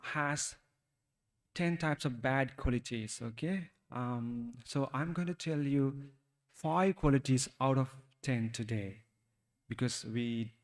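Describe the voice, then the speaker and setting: A man with a Sri Lankan accent, a Buddhist monk giving a talk to young listeners, speaking haltingly and asking them questions. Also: mid-range voice, in a large hall. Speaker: Buddhist monk teaching youth. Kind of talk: Dhamma talk for children.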